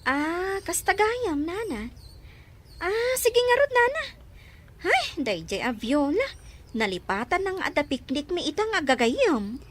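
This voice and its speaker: A woman with an Ilocano accent playing an excitable, evasive young village friend in an audio drama, hesitant and prone to exclaiming. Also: very high voice, unhurried, exaggerated voice. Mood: polite, eager. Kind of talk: Ilocano radio-style drama acting.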